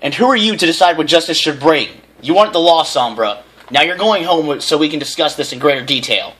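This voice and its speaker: An indignant mother pony played by a man in a storybook tale. The voice is pushed up high and scolds sharply, demanding answers. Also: animated, a little fast, a bit bright, husky, low-quality recording.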